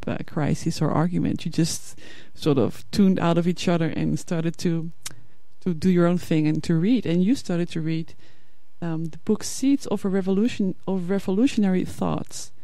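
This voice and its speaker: A woman whose first language is Dutch, hosting a radio interview in English and asking prepared questions in a measured way. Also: on the low side, mellow, dry recording. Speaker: radio host. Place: radio studio.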